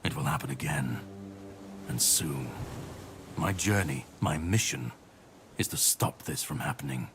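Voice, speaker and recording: An actor playing a grim, world-weary warrior on a mission in a video game, speaking in a grave, measured way. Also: male voice, extremely low pitch, lively intonation, a bit bright, heavily acted. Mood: grave, resolute. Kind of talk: dramatic video game dialogue acting. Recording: clear background noise and ambience.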